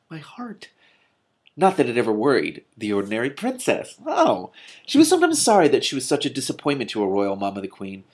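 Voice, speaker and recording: A man hosting a read-aloud, giving an expressive, emphatic storybook reading with casual asides. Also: mid-range voice, moderate pace. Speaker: host reading a book aloud with commentary.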